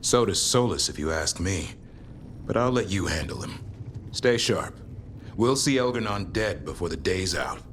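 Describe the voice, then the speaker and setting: A man's voice: deep voice, expressive, normal speed, slight background noise. A man playing a confident, caring warrior comrade in a fantasy game, speaking in plain, clipped phrases. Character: gruff-but-caring male warrior comrade, confident hunter. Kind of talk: acted game dialogue. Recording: game audio with clear background ambience.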